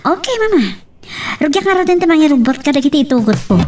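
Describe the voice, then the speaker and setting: A woman's voice: high-pitched, sing-song, brisk, a little mellow, distant mic, faint music behind. An actress playing a dutiful young woman in love in an Ilocano romance drama. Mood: dutiful, agreeable. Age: young adult. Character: young woman, recent college graduate, dutiful daughter in love. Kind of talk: Ilocano radio-style romance drama acting.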